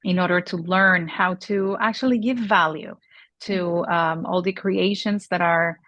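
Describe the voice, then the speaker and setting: A woman in her forties from Peru, answering interview questions in a halting way with many fillers and restarts. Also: on the low side, steady tone, a bit bright, dry recording, lo-fi sound. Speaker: luxury industry guest. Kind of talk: conversational interview.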